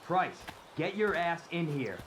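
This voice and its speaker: Gruff, surly drug dealer. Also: male voice, on the high side, sing-song, unhurried, background noise. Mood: surly, commanding. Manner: curt, irritable, swearing. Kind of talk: video game dialogue.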